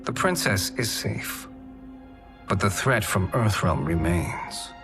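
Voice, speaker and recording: Low-voiced, sly sorcerer. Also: male voice, lively intonation, exaggerated voice. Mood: smooth, calculating. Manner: measured, formal, insinuating. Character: sly male sorcerer, cunning flattering schemer. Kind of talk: dramatic video game acting. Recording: dramatic music behind.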